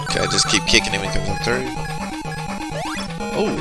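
A man's voice: lowish voice, moderate pace. A man giving casual, off-the-cuff commentary as he plays a retro video game, with informal exclamations and reactions. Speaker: gaming channel host. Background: retro video game music.

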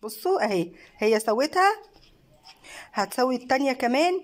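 An Egyptian woman filming a home craft vlog on her phone, talking casually and praising warmly as she films. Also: high-pitched, very animated, fairly quick. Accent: Egyptian Arabic. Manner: warm praising, encouraging, mixes in many fillers. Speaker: craft channel host and mother. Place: living room at a home table. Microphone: phone camera held by the speaker.

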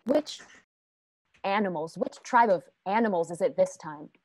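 A woman playing an outraged, principled frontier woman in a radio western, challenging others in halting, emphatic phrases. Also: fairly low pitch, a little hiss or hum, natural voice. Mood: scornful. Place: indoors at home, video call.

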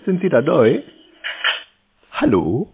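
A man playing naive and terse in a prank phone conversation, with a deadpan manner. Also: on the high side, takes it slowly. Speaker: scambaiter taking scam calls. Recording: phone-like band, clearly noisy.

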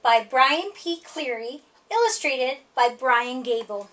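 Slow, high, animated woman reading to children. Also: poor audio. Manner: expressive, sing-song emphasis on rhymes. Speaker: storytime reader. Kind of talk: children's picture book read-aloud.

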